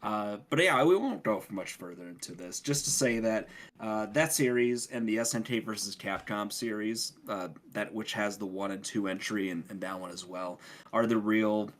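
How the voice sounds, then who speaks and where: Low-voiced man chatting about his hobby. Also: normal speed. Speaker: podcast co-host reviewing video games. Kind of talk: casual podcast discussion.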